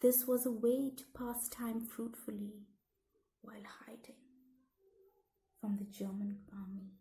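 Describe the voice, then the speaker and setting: A woman reading scripted educational narration aloud in measured, deliberate phrases, as for a school lesson. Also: on the high side, steady tone, warm tone, rough recording.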